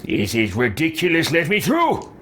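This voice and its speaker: Theatrical man with lively, highish voice. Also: normal speed, noisy background. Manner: theatrical, declaiming. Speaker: gamer roleplaying a character in a playthrough. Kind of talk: in-character roleplay commentary over a video game.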